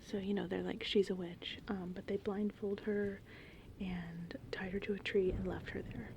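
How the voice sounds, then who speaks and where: A woman speaks in a soft, breathy whisper with a dark, relaxed tone. She rambles casually and conversationally, as an ASMR host does. Gentle rain and thunderstorm sounds sit faintly behind her. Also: mid-range voice, moderate pace, a little hiss or hum, poor audio. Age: 30s. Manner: informal, meandering, conversational. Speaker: ASMR host, horror film fan.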